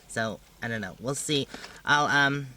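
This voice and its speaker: A man's voice: medium pitch, slow, bright, forceful tone, a little hiss or hum. A man chatting casually for a travel vlog in an informal, playful way. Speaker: travel vlogger.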